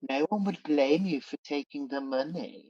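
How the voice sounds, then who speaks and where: A scheming Demon King in a radio pantomime, mid-pitched and theatrical. The delivery is sly and coaxing, and the sound is close and dry but slightly dull. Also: normal speed, dark tone, slightly rough, no room sound. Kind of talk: pantomime radio play acting.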